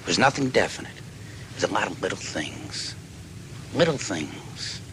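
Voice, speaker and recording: A man acts out a rumpled, deferential detective who keeps pressing his suspect. His voice is keen-edged and swings widely in pitch as he muses in short, folksy phrases. It is dramatic dialogue with clear background noise. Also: very animated, sharp tone, exaggerated voice, low-quality recording. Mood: firm, pressing. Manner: halting, musing, folksy delivery in short phrases. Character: dogged, deferential, rumpled male police detective closing in on a killer.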